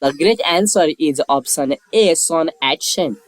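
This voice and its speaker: A man's voice: fairly high pitch, measured pace, soft background music. A man reading scripted quiz questions and lettered answer options as a narrator.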